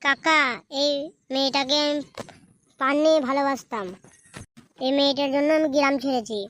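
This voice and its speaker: A man's voice: very high voice, steady tone, fairly quick, a bit bright, a little hiss or hum. A man voicing a brash, irritable young village man in a comedy cartoon, foul-mouthed. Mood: explaining. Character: brash young village man, runaway son back with his bride. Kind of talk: comedy cartoon dubbing in colloquial village speech.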